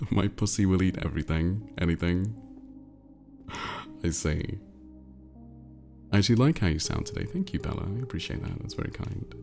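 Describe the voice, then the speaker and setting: Deep, gravelly, congested male streamer. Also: expressive, moderate pace, pauses often, a little mellow, soft background music. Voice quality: nasal, congested. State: congested with a cold. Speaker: livestream host. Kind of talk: casual livestream chat, reading viewer messages aloud.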